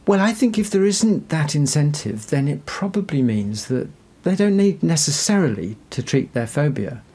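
A man being interviewed as an expert, explaining things in a gentle, reassuring and tentative way. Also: a little high, expressive, normal speed, slightly rough. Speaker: psychiatrist, interview guest.

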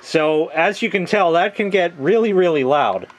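A man's voice: sits high, animated, moderate pace, very noisy. Casual middle-aged American man reviewing gear. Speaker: product reviewer. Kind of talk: casual hands-on product review. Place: small room at home, laundry area. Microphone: handheld camera mic, close behind the camera.

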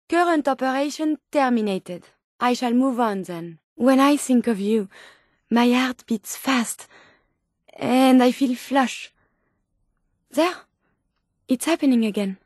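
A female robot scientist from a video game with a French accent. Her voice sits fairly high and sounds close and dry. She speaks formally and precisely, earnest and politely curious. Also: darkish tone, no echo. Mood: tender, wistful. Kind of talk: video game companion voice lines.

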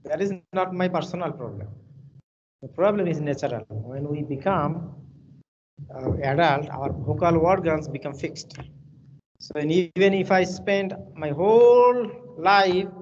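A man with a Bangladeshi accent, English his second language, lectures a class over a video call. He rambles and repeats himself as he tells stories. His voice sits a little high, and the audio is dull and lo-fi with a faint hiss. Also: measured pace. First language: Bengali. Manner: rambling, repetitive, friendly storytelling. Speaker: university lecturer. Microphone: computer mic on a video call.

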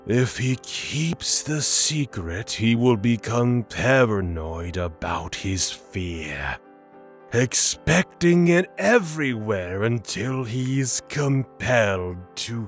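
A man's voice: fairly low pitch, animated, very slow, faint music behind. A man playing a haughty, menacing god of fears in a fantasy audio drama, theatrical and imperious. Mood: ominous, matter-of-fact.

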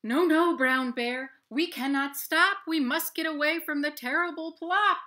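A woman voicing a group of panicked rabbits in a storybook, shouting high-pitched warnings. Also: normal speed. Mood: insistent, panicked. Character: crowd of panicked rabbits fleeing.

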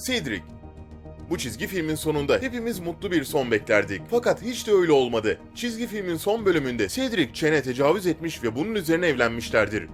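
Male narrator, scripted countdown read, highly animated pitch, music underneath.

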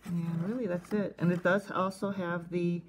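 A woman giving casual, unscripted hands-on review commentary in a halting, conversational way, thinking aloud. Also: fairly low pitch, fairly even intonation. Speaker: host of a cassette collecting video channel. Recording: faint background noise, casual tablet recording.

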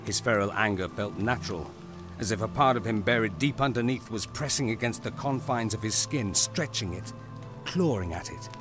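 Male narrator for audio drama, low register, grave and deliberate, music running clearly underneath.

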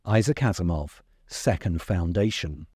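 A man in his forties narrating a scripted video essay in a deliberate, emphatic way, close to the microphone. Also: low-pitched, animated, a little mellow, breathy edge, no echo. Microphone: close desk microphone. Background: music under the voice.

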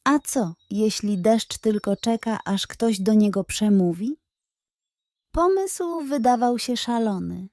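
A woman's voice: medium pitch, mellow, no echo, studio quality. A woman narrating a scripted bedtime story for children.

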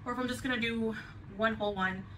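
A chatty woman with a bright, slightly high voice rambles through a grocery haul vlog. She is heard from a distance through the camera in her kitchen, with a faint room hum behind her. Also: fairly even intonation, quick pace. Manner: chatty, rambling, light laughs. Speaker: vlogger. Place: home kitchen, indoors. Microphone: camera on the counter.

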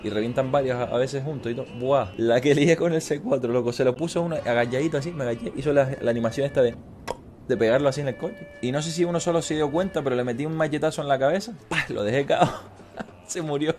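A man's voice: medium pitch, fast talker, a little mellow. A man, chatting and telling stories crudely and jokingly as he streams a game from a room at home. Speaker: gaming streamer. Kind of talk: casual livestream chat and storytelling during gameplay. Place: small room at home, indoors.

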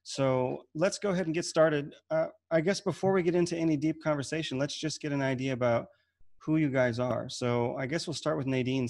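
A man in his thirties moderating an online panel on a video call from a room at home, talking in a loose, easygoing way with frequent restarts. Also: mid-range voice. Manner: relaxed, repeats words and restarts often. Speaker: webinar host and moderator. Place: home room with shelves of gems.

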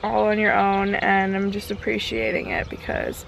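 A woman's voice: mid-range voice, sing-song, moderate pace, vocal fry, fairly bright tone, rough recording. A woman in her twenties chatting casually and informally in a rambling vlog, recorded outdoors on a camera held at arm's length. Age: 20s. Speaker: lifestyle vlogger. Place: mostly outdoors in a leafy backyard, briefly a home kitchen. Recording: outdoor background noise, handheld camera.

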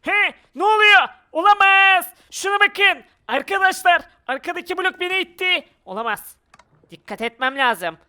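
A woman's voice: very high-pitched, animated, moderate pace, bright, forceful tone. A woman giving playful game commentary, with dramatic exclamations as she works through obstacles. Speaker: gaming video player. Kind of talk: playful Minecraft adventure commentary.

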